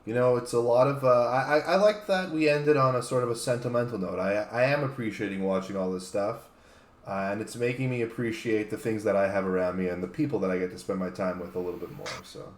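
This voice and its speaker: A man's voice: mid-range voice, fast talker. A man chatting casually as a panelist on a show, recorded in an echoing room. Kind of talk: casual chat. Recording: roomy, echoing voice pickup.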